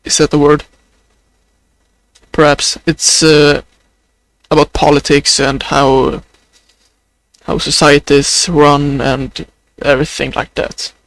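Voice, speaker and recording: Slow, gravelly Swedish-accented young man. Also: on the high side, flat delivery, a bit bright, no room sound, low-quality recording. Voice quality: sounds sad or close to crying. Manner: hesitant, many fillers, slow and halting. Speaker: video journal creator. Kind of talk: unscripted video diary.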